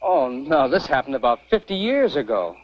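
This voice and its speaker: Earnest boyish young camp fella, lovestruck, voice swings all over, muffled old western radio sound. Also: male voice, mid-range voice, very animated, moderate pace. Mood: eager, insistent. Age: young adult. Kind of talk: vintage Western radio drama acting. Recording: muffled old radio recording, faint music.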